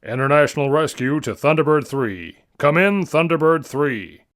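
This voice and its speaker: A man's voice: on the high side, expressive, normal speed, a bit bright. A man voicing a commanding older father who leads a rescue team, firm and authoritative, in an adventure story. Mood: concerned, commanding. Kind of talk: audio adventure story character voice.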